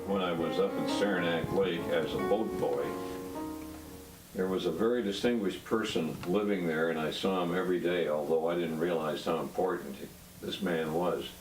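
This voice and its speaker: Deep-voiced man in his eighties. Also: music in the background, poor audio. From upstate New York. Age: 80s. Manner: unhurried, reflective, with "uh" fillers. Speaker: interviewee, pianist. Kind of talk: interview.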